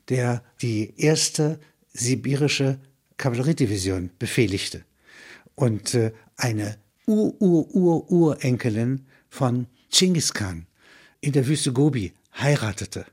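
Male narrator, mid-pitched and husky, literary reading with explanatory asides. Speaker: narrator and reader.

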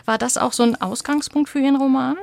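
A woman's voice: high-pitched, fairly even intonation, fast, creaky, darkish tone. A woman conducting a thoughtful literary interview in an articulate, analytical way.

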